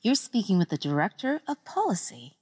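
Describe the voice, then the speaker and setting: A woman's voice: medium pitch, big pitch swings, brisk, darkish tone, breathy edge. A woman playing a harried director of policy who answers calls from home and politely turns the caller down. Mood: officious. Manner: polite, officious.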